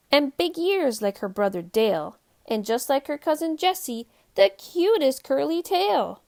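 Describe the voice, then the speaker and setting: Animated, high-voiced woman reading a children's storybook. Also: gravelly. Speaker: host of a children's read-aloud show. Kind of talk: expressive storybook narration for young children.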